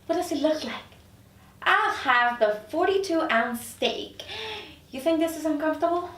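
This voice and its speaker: A woman's voice: high voice, expressive, moderate pace, fairly bright tone. A woman playing a proud, sarcastic career woman who is easily offended, in a drama script table read. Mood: outraged. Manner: sarcastic, incredulous. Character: proud, indignant career woman newly arrived in hell. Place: echoey room, recorded from off. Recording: roomy, distant mic, faint noise.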